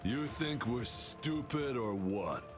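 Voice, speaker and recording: A man's voice: medium pitch, lively intonation, takes it slowly. A man voicing a menacing, stubborn gang thug in a video game, blunt and threatening. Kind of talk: scripted video game voice acting. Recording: music under the voice.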